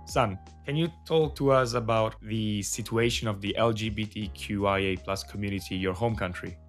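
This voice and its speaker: A man's voice: lowish voice, normal speed, faint music behind. A man hosting a podcast who asks his guest questions in a friendly, curious way. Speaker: podcast host. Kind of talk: casual interview hosting.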